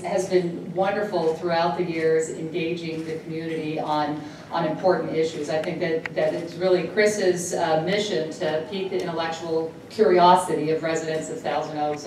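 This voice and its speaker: A woman's voice: low voice, a little mellow. Dutch-born woman speaking in an echoey hall. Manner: halting, with frequent filler sounds and restarts. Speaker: state assemblymember hosting a speaker. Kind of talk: formal speech introducing a guest. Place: university event hall. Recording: echoey hall, distant mic, noisy.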